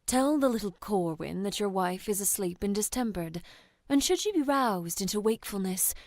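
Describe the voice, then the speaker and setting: A woman's voice: mid-range voice, expressive, normal speed, darkish tone, no room sound, close to an everyday voice. An actress playing a proud, defiant wife accused of witchcraft in a period audio drama, with eloquent, imperious speech. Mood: commanding, scornful.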